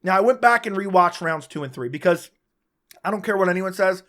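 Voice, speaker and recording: A man with a fairly high voice talks straight to his audience, hoarse and worn because he is sick and losing his voice. He runs through his take in a casual, opinionated way, rushing through some stretches and stumbling over a word now and then. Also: animated, a bit bright. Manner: casual, opinionated, talks fast in bursts with restarts. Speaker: MMA commentator, channel host. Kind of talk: solo post-fight recap to camera.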